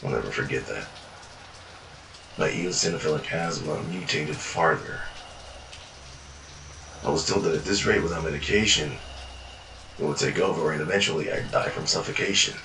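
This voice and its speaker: Deep-voiced weary male narrator, echoey. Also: moderate pace, vocal fry, long pauses, bright, forceful tone. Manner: weary, world-worn storytelling with frequent swearing. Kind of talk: first-person fiction journal narration. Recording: echoey room, distant mic, noisy.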